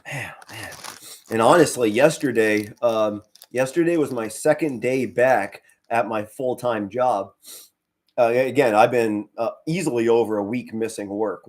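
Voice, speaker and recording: A hoarse middle-aged man from Pennsylvania doing casual live play-by-play as a fan, mostly low and subdued with bursts of excitement. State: hoarse, straining to save his voice. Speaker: fan commentator on a live stream.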